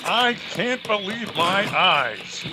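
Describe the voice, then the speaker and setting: Man playing very high-pitched indignant mayor. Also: very animated, slow pace, over loud music, exaggerated voice. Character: stern, indignant small-town mayor. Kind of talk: old-time radio comedy acting. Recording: noisy home recording with room ambience.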